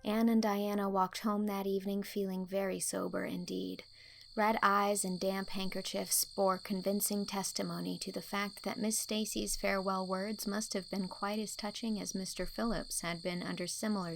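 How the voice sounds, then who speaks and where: A woman narrating a storybook in a measured, expressive, scripted way. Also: mid-range voice, gravelly, slight background noise, low-quality recording. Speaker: audiobook narrator.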